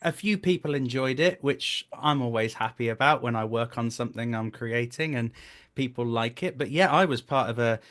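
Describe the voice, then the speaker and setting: A man's voice: mid-range voice, moderate pace, a bit bright. A man in his thirties talking casually as an interview guest, telling jokey, self-deprecating anecdotes as a director. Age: 30s.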